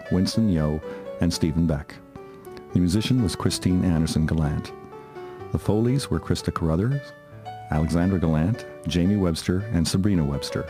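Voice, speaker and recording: A man reading a scripted announcement as a radio drama's announcer. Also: sits low. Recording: guitar music under voice.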